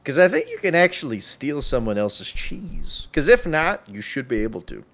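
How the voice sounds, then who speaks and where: A man's voice: mid-range voice, big pitch swings, normal speed, a bit bright. A man talking casually while playing a video game, with a playful, profane way of speaking. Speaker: streamer.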